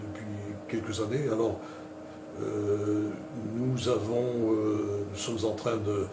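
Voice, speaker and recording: A man's voice: on the low side, little pitch movement, takes it slowly, over music. An older man from Canada introducing himself in unscripted talk, hesitating often with fillers and restarts. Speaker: interviewee. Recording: roomy and distant with clear noise.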